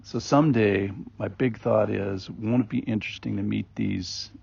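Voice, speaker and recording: Gravelly husky guy rambling thru a bible passage, lowish voice, muffled lo-fi sound. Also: fairly even intonation, normal speed, darkish tone. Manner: rambling, conversational, many ums and restarts. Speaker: podcast host teaching Bible passages. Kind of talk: informal daily Bible reflection. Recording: dull lo-fi recording.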